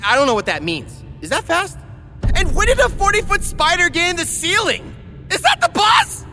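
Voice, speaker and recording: A man puts on a naive, nerdy young hunter for a cartoon parody. He is cocky and boyish, very high in pitch and wildly animated, with light music behind. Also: normal speed, exaggerated voice. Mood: alarmed. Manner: boyish, eager, goofy. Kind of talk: comedic anime parody voice acting.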